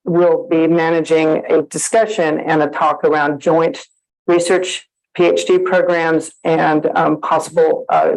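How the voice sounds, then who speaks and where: A woman's voice: low voice, vocal fry, darkish tone, rough recording. A woman from the United States, an associate dean, giving a friendly conference presentation with many fillers. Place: conference hall.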